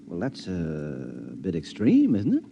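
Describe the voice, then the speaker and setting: An actor playing a mild-mannered, middle-aged American friend in a 1950s radio drama, hesitant and astonished, full of doubtful questions. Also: male voice, deep voice, huge pitch swings, takes it slowly, vocal fry, a little hiss or hum, heavily acted. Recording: dull old radio recording.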